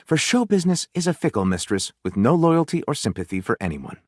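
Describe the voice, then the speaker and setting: Game role: flamboyant storyteller, highly animated, grandiose narrator who gushes, recorded dry. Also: male voice, brisk, no echo. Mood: grandiose, dramatic. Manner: grandiose narration switching to gushing excitement. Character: flamboyant, theatrical storyteller co-writing lurid pulp novels. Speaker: video game voice actor.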